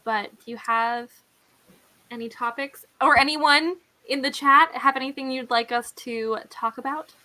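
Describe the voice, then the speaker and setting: A young-sounding, high-pitched woman leads a casual group book chat. Her tone is bright and forward, with a giggly, animated way of reacting. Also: unhurried, rough recording. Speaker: live book discussion host.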